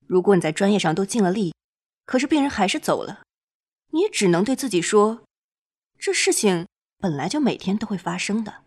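A woman's voice: mid-range voice, very animated, a little fast, darkish tone, breathy edge. A woman playing a detached, pragmatic senior woman doctor who gives matter-of-fact advice in an amateur radio drama. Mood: firm, lecturing.

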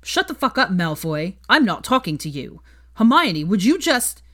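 A woman doing an outraged, hot-headed young man, pitched high. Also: big pitch swings, moderate pace, fairly bright tone. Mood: angry, impatient. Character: outraged young man, hot-tempered, indignant, blustering ex-boyfriend. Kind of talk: fan fiction audiobook acting.

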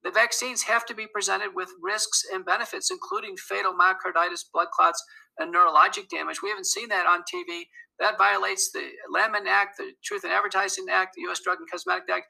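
A man's voice: high voice, creaky, pushed and bright, rough recording. A man interviewed as a doctor guest, speaking firmly and assertively, listing facts steadily. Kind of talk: interview clip.

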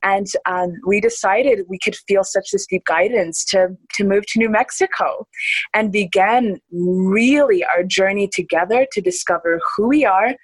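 A woman's voice: medium pitch, a little slow, slightly rough, dry recording, rough recording. Enthusiastic young woman, dry close sound. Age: young adult. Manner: earnest, enthusiastic, laughs often, many restarts. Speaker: interview guest. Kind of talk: casual conversational interview.

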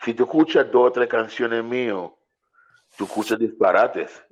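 A man's voice: mid-range voice, normal speed, husky. A man, a guest singer in a casual talk, repeating phrases for emphasis. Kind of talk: casual chat. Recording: dull lo-fi sound.

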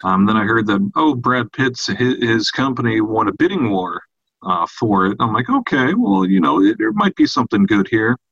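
A man co-hosting a podcast, explaining his views on horror films in a casual, measured, slightly halting way. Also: medium pitch, very animated, fairly bright tone, dry recording. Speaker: podcast co-host. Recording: dull, lo-fi, dry audio.

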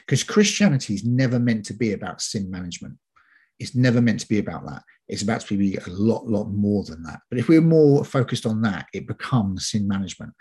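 A man's voice: on the low side, darkish tone, breathy edge. A man giving an informal, conversational sermon, explaining in a chatty, hesitant way and often repeating himself.

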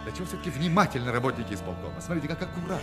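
An actor playing a witty, self-assured swindler in a radio play, with mocking, theatrical, mock-solemn pronouncements. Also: male voice, mid-range voice, big pitch swings, very fast, natural voice. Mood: gleeful commentary. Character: witty, self-assured swindler, a grown man, grandly ironic. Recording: studio radio play with music.

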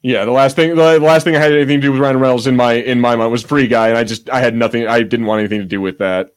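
A man's voice: mid-range voice, steady tone, rapid-fire, clear, resonant tone. Rambling man chatting on a podcast. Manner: rambling, searching for words, breaking off mid-thought. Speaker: podcast co-host. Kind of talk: casual unscripted chat.

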